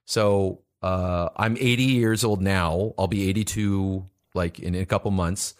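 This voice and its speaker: A man's voice: low-pitched, fairly even intonation, a little slow. A man in his thirties co-hosting a podcast, talking casually and rambling with frequent restarts and fillers. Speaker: podcast co-host.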